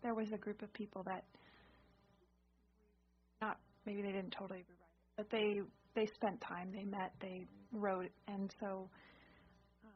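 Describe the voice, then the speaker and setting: A woman's voice: mid-range voice, little pitch movement, unhurried, pauses often, a little hiss or hum. A woman at a committee meeting, thinking aloud and restarting her sentences, recorded in a meeting room. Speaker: committee member. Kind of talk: discussion at a meeting. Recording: dull lo-fi recording.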